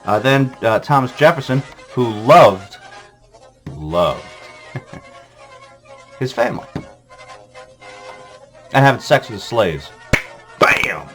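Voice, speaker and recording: A man's voice: medium pitch, expressive, slow, long pauses, fairly bright tone, faint music behind. A man hosting a comedic history vlog, rambling and swearing through a list of presidents with lots of fillers, wired on coffee. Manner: rambling, profane, full of fillers. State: jittery from coffee. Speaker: vlog host. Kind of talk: irreverent comedic history rundown.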